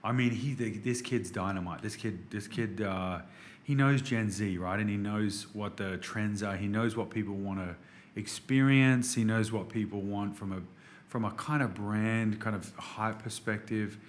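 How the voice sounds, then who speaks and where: Australian man, 30s, guest on a business podcast, low-pitched, excitable and rambling. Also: moderate pace, a little hiss or hum. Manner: enthusiastic, chatty, many restarts and fillers. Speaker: podcast guest, restaurant operator. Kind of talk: casual interview chat.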